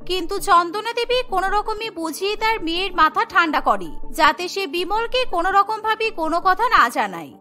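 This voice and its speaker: A woman's voice: extremely high pitch, bright, forceful tone. A woman reading scripted narration for a cartoon story.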